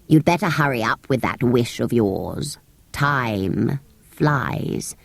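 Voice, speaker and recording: A woman's voice: extremely low pitch, expressive, slow pace, gravelly, no room sound, voice pushed down, heavily acted. A woman voicing a grumpy, weary sand fairy creature in a storybook, with a dry, curt manner. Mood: impatient.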